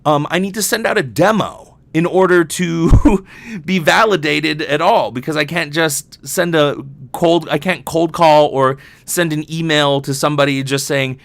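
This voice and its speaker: A man's voice: fairly high pitch, unhurried, gravelly, dry recording. Man in a dry, close podcast monologue. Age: young adult. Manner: candid, rambling, swearing freely. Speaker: podcast host, voice actor. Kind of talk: casual solo podcast monologue.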